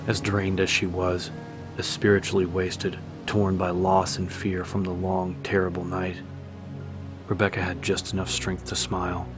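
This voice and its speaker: A man with a very low voice narrates a dramatic story as an audiobook. His pitch moves very little, staying nearly monotone. Music plays clearly behind his reading. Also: measured pace.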